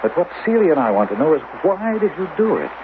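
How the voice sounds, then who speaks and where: Radio play, male defensive, uneasy doctor with a secret: earnest, lively intonation, lo-fi 1970s broadcast sound. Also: a little high, fast. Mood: challenging. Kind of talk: 1970s radio drama acting. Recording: narrow, lo-fi old radio recording, faint noise.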